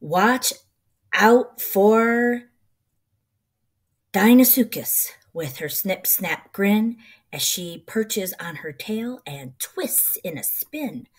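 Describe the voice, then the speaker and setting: A middle-aged woman reading a children's picture book aloud in an expressive, rhythmic, sing-song way. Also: mid-range voice, extremely slow, breathy edge.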